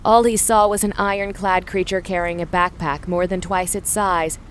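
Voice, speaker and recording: A woman's voice: lowish voice, level tone, moderate pace, a little hiss or hum. A woman reading scripted story narration for an audio drama.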